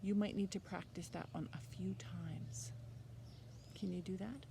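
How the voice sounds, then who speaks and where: A woman's voice: on the low side, steady tone, measured pace, vocal fry, background noise. A woman presenting a children's storytime lesson, encouraging young listeners with simple step-by-step instructions.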